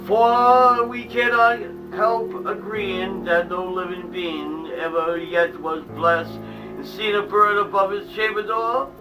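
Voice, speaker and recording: Man, slow dramatic poetry reading, hushed drops, eerie music behind, distant mic. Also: high-pitched, fairly even intonation. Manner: dramatic, hushed drops in volume, stumbles and restarts. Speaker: reader of a poem. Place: small home museum room.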